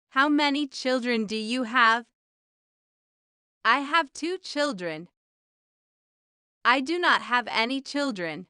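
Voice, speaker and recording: A woman with a high, bright voice reads simple questions and answers for a beginner language lesson. She goes slowly and enunciates each short sentence carefully, as a teacher would. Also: clean tone, dry recording, clean studio sound.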